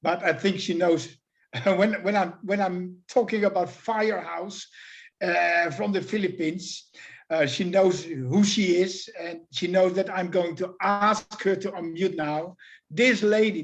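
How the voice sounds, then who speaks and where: A man's voice: sits high, fairly even intonation, takes it slowly. A man hosting an online meeting, introducing speakers in a casual, effusive way over a video call. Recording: dull lo-fi online call audio.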